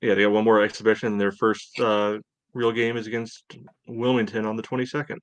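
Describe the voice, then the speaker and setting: A man's voice: lowish voice, level tone, brisk, no echo, rough recording. A man co-hosting a casual sports talk show, hesitant and thinking aloud as he goes. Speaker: sports podcast co-host.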